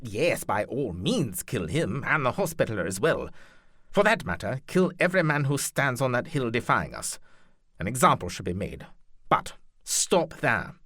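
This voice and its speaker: A man's voice: medium pitch, wildly swooping pitch, moderate pace, a bit bright, no echo, studio quality, pitched above the performer's own voice. A man reading a novel aloud, voicing a stern noble duke who gives clipped, authoritative orders. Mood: commanding. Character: stern noble male duke, cold and commanding. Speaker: duke commanding an army.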